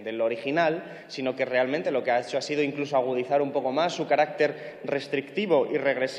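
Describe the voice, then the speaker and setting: A young man speaking at a lectern in a large parliament chamber, arguing forcefully and stacking lists for emphasis into a lectern microphone. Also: mid-range voice, dull audio. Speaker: member of parliament. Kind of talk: parliamentary speech. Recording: echoey chamber with long reverb.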